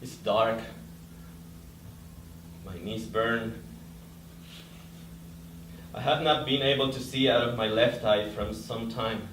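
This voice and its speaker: Distant echoey room, faint noise, male actor as numb suffering captive, measured stage delivery. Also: medium pitch, fairly bright tone. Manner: short, plain, measured sentences. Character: suffering bound prisoner, numb and stoic. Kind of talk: staged dramatic reading, monologue. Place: live staged reading indoors.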